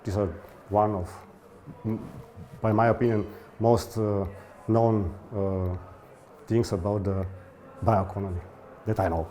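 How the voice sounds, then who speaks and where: A man's voice: on the low side, fairly even intonation, unhurried, pauses often, mellow, slight background noise. A man from Croatia giving an interview answer, searching for words and using frequent fillers.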